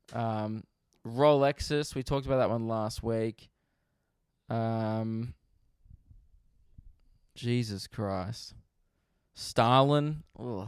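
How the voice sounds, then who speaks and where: Crisp, dry-miked Australian comedian riffing. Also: male voice, medium pitch, no room sound. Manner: riffing, swears freely, laughs at his own jokes. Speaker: comedian podcast host. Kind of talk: casual solo podcast chat.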